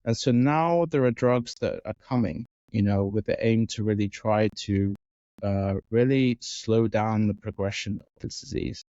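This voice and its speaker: Welsh-born guy in his 40s, thoughtful and halting, mellow voice, dry dull audio. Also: on the low side, no echo. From Wales. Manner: thoughtful, with many fillers and restarts. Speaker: guest neuroscientist. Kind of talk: conversational interview answers.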